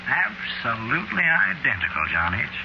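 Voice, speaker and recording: A man's voice: medium pitch, huge pitch swings, moderate pace, creaky. An actor playing a genteel, cheerfully morbid coffin salesman in 1940s radio drama, fussy, hesitant and politely unctuous, on a muffled old hissy recording.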